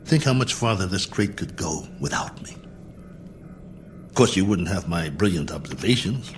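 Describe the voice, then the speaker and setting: An actor playing a lonely, sardonic astronaut colonel musing to himself in a radio drama log. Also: male voice, sits low, expressive, moderate pace, soft background music. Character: lonely, wry astronaut colonel alone on a long spaceflight.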